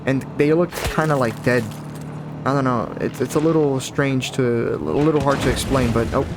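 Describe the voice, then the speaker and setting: A man with a deeper, just-woke-up morning voice, chatting casually and rambling over his gameplay as a gaming video host. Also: steady tone, moderate pace, background noise. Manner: chatty, rambling, self-correcting. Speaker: gaming YouTuber. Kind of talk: casual let's-play commentary. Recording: voice over loud game audio.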